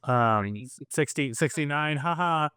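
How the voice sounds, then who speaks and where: Chill podcast host guy, jokey, smooth clear voice, dry mic. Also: fairly high pitch, measured pace, pushed and bright, no room sound. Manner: laid-back, joking interviewer. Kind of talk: casual interview chat.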